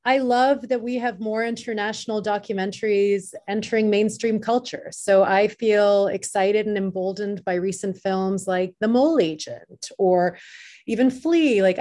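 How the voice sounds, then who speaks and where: A woman with a mid-pitched voice talks as a guest on a panel. Her delivery is unscripted and conversational, as a filmmaker sharing her own view. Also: a little mellow.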